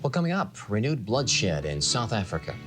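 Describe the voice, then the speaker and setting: A man's voice: lowish voice, very animated, moderate pace, over music. A man anchoring a local TV newscast in a broadcast delivery. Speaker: TV news anchor.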